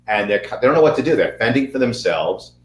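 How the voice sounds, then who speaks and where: A man's voice: medium pitch, a little fast, slight background noise. Chatty male webinar host, echoey. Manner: chatty and energetic, jokey. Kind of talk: webinar interview. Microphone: video call.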